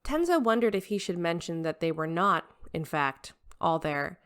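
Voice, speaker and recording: A woman's voice: lowish voice, sing-song, normal speed, smooth. A woman reading scripted fiction as an audiobook narrator.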